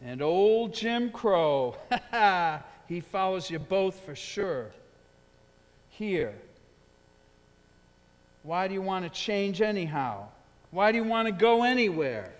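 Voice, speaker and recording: A man's voice: sits high, very animated, slow, pauses often, heavily acted. A man playing a swaggering, seductive drug dealer in a jazz bar, coaxing and taunting in streetwise slang, in a theatrical staged reading. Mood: coaxing, sly. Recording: echoey theater hall with music.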